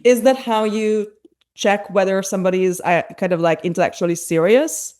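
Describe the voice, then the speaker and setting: A woman from Hungary hosts a podcast conversation in a mid-range voice. She asks her questions thoughtfully, pausing and restarting as she feels for the right words. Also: mid-range voice, darkish tone. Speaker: podcast host. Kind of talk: conversational interview.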